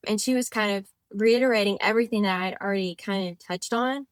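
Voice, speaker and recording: A woman in her twenties talks as a podcast guest, circling her thoughts with "like" and quick self-corrections. Her voice sits at an average pitch. The sound is dry and close, with no room to it. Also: dry recording. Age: 20s. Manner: chatty, rambling, frequent "like" fillers and self-corrections. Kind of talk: casual conversational interview.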